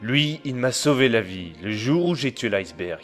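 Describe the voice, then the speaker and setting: A man's voice: mid-range voice, expressive, normal speed, natural voice. A man as a loyal young assassin; level, matter-of-fact delivery over music. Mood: calm. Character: calm loyal male assassin, young adult. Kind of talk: amateur audio drama acting. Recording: music underneath.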